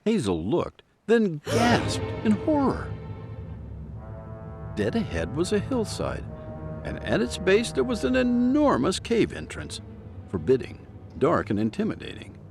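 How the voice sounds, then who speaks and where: A man's voice: high-pitched, huge pitch swings, unhurried, gravelly, music in the background. A man narrating a scripted story in a theatrical, suspenseful storyteller's manner.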